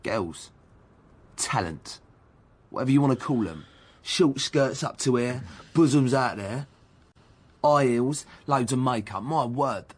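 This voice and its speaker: Radio drama role: cocky London footballer under police questioning. Slightly husky, slangy, defensive and evasive. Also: male voice, medium pitch, lively intonation, takes it slowly, long pauses. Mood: evasive, blustering. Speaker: actor. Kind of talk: naturalistic radio drama acting.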